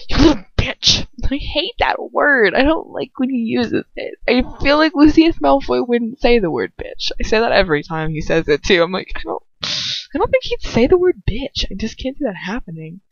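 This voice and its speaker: A woman reading a story aloud in an expressive amateur narration, with dramatic emotion and casual asides. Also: a little high, moderate pace, creaky, few pauses. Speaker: fan fiction reader. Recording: dull, lo-fi, dry home recording.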